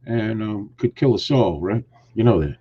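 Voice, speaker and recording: A man's voice: fairly low pitch, lively intonation, moderate pace, husky, dull audio. A man hosting a casual live stream, greeting viewers and teasing his co-hosts in a joking, rambling way. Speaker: host.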